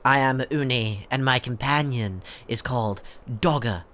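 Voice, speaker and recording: A man with a stuffy nose reading the lines of a calm young female scholar from a fantasy game. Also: mid-range voice, measured pace, close to an everyday voice. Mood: polite.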